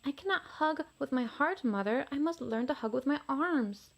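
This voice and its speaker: A woman's voice: sits high, animated, moderate pace, vocal fry, highs cut off. A woman voicing a tiny young male T. rex, earnest and determined, in a storybook read-aloud. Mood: pleading.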